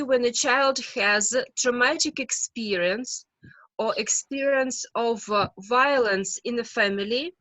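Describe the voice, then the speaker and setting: A woman with a Russian accent, a psychologist answering questions on a video call, speaking slowly and carefully with many hesitations. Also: a little high, sharp tone, dry recording, low-quality recording. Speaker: guest expert psychologist. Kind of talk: webinar Q&A answer.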